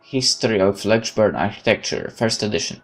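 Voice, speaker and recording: Low gravelly man reading a game aloud. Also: expressive, a little fast, faint music behind. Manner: informal, self-interrupting, muttering asides. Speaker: let's play host. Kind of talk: reading a visual novel aloud with casual reactions.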